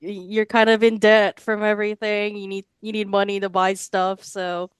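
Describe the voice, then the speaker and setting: Chatty young woman with a smooth voice. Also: mid-range voice, little pitch movement, moderate pace, no echo. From Hawaii. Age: 20s. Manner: chatty, rambling, lots of "like". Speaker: podcast guest. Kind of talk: casual interview answers.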